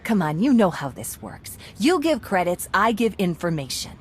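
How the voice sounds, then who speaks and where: An actress playing a brash, evasive underworld informant in a video game, with a flippant, sarcastic, casual way of talking. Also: female voice, lowish voice, big pitch swings, moderate pace, a little hiss or hum. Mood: guarded.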